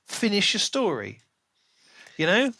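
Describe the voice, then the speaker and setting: A man's voice: high-pitched, wildly swooping pitch, a little slow, bright, forceful tone, no echo. A man co-hosting a podcast, talking casually and emphatically, with firm opinions, in a book discussion. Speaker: podcast co-host reviewing books.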